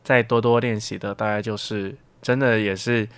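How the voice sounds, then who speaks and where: A man's voice: lowish voice, steady tone, normal speed, smooth. A young man as a student guest in a casual radio interview, explaining things reflectively and repeating words as he goes. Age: young adult.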